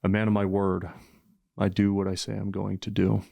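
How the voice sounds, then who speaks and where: Calm, very deep, gravelly man. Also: normal speed, no echo. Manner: calm, soothing. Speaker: podcast host. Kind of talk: measured interviewing and reciting.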